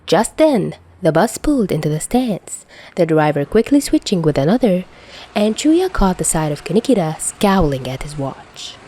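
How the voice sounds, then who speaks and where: A woman reading fan fiction aloud as an expressive audiobook-style narrator. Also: fairly low pitch, normal speed, a little hiss or hum. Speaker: podfic narrator.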